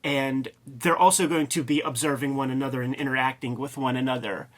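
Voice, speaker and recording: A man's voice: medium pitch, steady tone, fairly quick, fairly bright tone. A man co-hosting a discussion show, giving colloquial, off-the-cuff commentary and often searching for his words. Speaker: co-host of a comic book discussion show. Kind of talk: casual chat and commentary.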